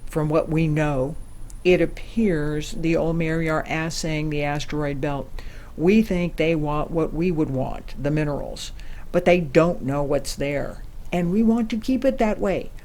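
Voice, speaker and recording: A woman playing a formal, measured ambassador briefing her crew in an audio drama. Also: low-pitched, darkish tone, slight background noise. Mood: grave. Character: composed female ambassador leading a secret space mission.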